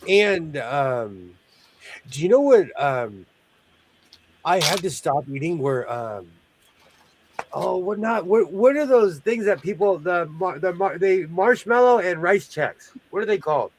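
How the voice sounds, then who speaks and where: A man's voice: sits high, big pitch swings, measured pace, slight background noise, poor audio. A man hosting a sewing show, chatting casually and jokily while he works, often trailing off mid-sentence.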